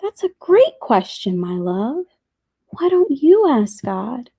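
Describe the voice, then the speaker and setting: A woman voicing a gentle, loving father in a storybook, tender and encouraging. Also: high voice, sing-song, takes it slowly, breathy edge, full character voice. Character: gentle, loving father answering his child.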